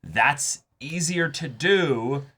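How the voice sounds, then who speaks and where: A man's voice: on the high side, expressive, drawn-out words, sharp tone, breathy edge. A man in a casual discussion as co-host of a reaction show, speaking emphatically and repeating phrases as he builds his point.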